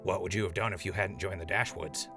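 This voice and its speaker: A man voicing a gravelly, deep wasteland radio host. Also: fast, pushed and bright, soft background music. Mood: upbeat. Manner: chatty, upbeat broadcaster patter. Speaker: radio show host in story. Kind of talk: audio drama acting.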